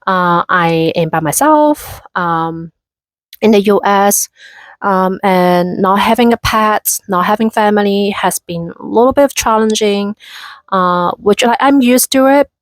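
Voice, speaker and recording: A woman's voice: medium pitch, unhurried, no room sound. A woman in her thirties from Hong Kong with a Hong Kong accent, chatting casually in a vlog with many fillers and restarts. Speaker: vlogger.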